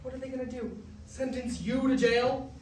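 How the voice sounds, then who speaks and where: An actor playing an earnest, teasing young college-age grandson in a staged musical reading. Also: male voice, extremely high pitch, fairly even intonation, normal speed, slight background noise. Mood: playful, teasing. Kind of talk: staged musical reading, part sung, part spoken. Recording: echoey room, far mic, music behind.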